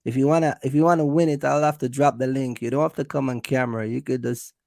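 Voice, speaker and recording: A man's voice: mid-range voice, fairly even intonation, fast, gravelly, a little mellow, no room sound, studio quality. A man hosting a casual livestream, giving business advice straight to viewers in an emphatic, repetitive way. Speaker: host of a trucking business livestream.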